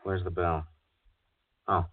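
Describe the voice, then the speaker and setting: An actor with an American accent playing a coolly taunting killer on the run in a 1940s radio thriller. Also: male voice, low voice, flat delivery, slow. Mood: cold menace. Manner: tough-guy talk, mock-tender menace. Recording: muffled lo-fi old radio recording.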